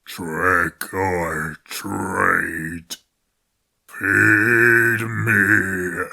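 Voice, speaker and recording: A man voicing a creepy, greedy, ravenous Halloween pumpkin monster for a video game, with a growly gravelly voice. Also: low-pitched, fairly even intonation, very slow, sharp tone, heavily acted. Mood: hungry, menacing. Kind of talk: video game character voice lines.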